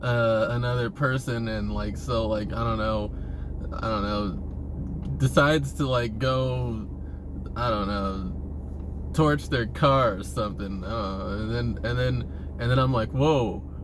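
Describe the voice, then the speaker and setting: A man giving a casual, rambling monologue to viewers, halting and repeating himself, with informal, sweary phrasing. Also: mid-range voice, noisy background. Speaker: vlogger.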